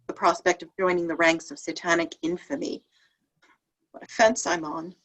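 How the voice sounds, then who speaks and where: A woman's voice: lowish voice, normal speed, fairly bright tone, low-quality recording. Anxious woman acting a doubting, fearful angel. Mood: frightened. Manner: anxious, questioning. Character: doubting angel, fearful of hell, torn and questioning. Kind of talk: table-read stage acting of religious drama.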